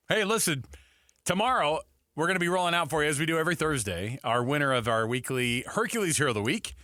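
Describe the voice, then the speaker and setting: A man's voice: on the high side, sharp tone, no echo, clean studio sound. A man with an American accent co-hosting sports talk radio, emphatic and conversational.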